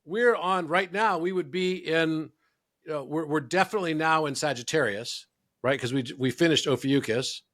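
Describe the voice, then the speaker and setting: A man's voice: on the high side, moderate pace, a bit bright. A man hosting a podcast, explaining ideas in a lecturing way with emphatic quoted asides. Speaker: podcast host. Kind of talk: casual conversational interview.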